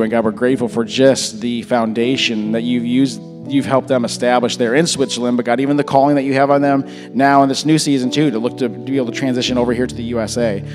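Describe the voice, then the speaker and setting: A man in his thirties, a little hoarse, giving a relaxed church talk and prayer into a handheld mic, full of fillers and restarts. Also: mid-range voice. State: slightly hoarse. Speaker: pastor. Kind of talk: informal sermon and prayer. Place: large church stage. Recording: church hall reverb. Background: soft worship music.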